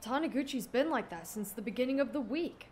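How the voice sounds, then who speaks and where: A woman's voice: a little high, lively intonation, a little fast, a little mellow, close to an everyday voice. Woman voicing a mild schoolboy classmate, noisy recording. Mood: casual, matter-of-fact. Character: mild, chatty, polite teenage schoolboy classmate. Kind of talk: anime fandub dialogue.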